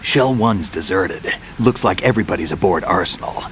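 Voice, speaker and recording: A man playing a gruff, commanding veteran soldier with a gravelly voice in a video game. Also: low-pitched, expressive, normal speed, fairly bright tone, slight background noise. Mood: stern. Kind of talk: dramatic video game cutscene acting.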